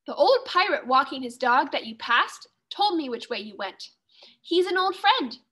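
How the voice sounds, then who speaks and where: A woman's voice: high voice, sing-song, normal speed, sharp tone, exaggerated voice. A woman reading a children's storybook, voicing a proud, loving and jovial pirate father. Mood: explaining.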